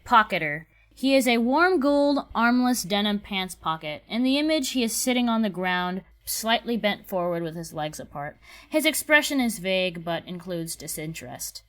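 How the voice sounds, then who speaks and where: A woman narrates a scripted casting call at an average pitch for a female voice. Her delivery is measured and methodical as she goes through each description. Her intonation moves up and down a lot, keeping the reading lively.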